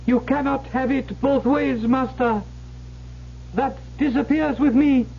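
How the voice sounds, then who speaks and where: An actor playing a male bottle imp, a devil's bound servant, speaking with slow, high, unearthly servility. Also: steady tone, gravelly, full character voice. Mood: eerie servile. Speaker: actor in radio drama. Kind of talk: 1970s radio drama acting. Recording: muffled old radio recording with hiss.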